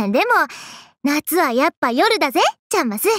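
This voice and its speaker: A woman's voice: sits high, big pitch swings, moderate pace, fairly bright tone, no echo, studio quality. A woman voicing a brash, playful, hyper young woman from an anime game, with cocky, slangy, teasing delivery. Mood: wistful. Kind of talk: Japanese anime game character voice lines.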